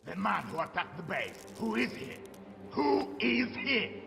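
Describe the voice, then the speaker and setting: An actor playing a cruel, violent Soviet colonel, menacing and deliberate, in a video game. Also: male voice, high voice, lively intonation, vocal fry, exaggerated voice. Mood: cruel threat. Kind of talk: video game villain acting. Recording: echoey, under loud music.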